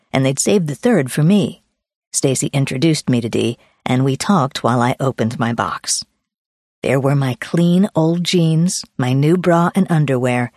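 Low, husky woman narrating a memoir. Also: darkish tone, no echo, studio quality. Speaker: audiobook narrator. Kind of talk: scripted first-person memoir narration.

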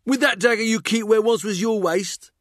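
Shrill, jeering London court fool. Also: male voice, normal speed, fairly bright tone, no room sound, studio quality. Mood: bitter taunting. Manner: mocking, jeering. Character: scrawny bitter male court fool, mocking and taunting.

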